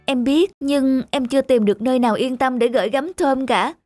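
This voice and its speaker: A woman playing a worried, tender young wife in an animated drama, soft-hearted and pleading. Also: high voice, brisk, faint music behind, close to an everyday voice. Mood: reluctant, pleading. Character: worried, tender young wife who loves her cat.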